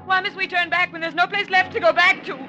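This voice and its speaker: A woman's voice: very high voice, flat delivery, fast, highs cut off. An actress playing a frightened, pleading young woman fleeing war, in an emotional mid-century radio style. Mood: distraught. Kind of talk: 1940s-50s radio drama acting. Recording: vintage radio broadcast with music.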